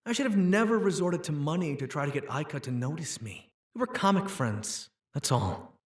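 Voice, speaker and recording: A man playing a shy, earnest, nerdy high school boy in a manga dub, narrating and speaking in dialogue. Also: lively intonation, normal speed, slightly rough, no room sound, clean studio sound. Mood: glum, reflective.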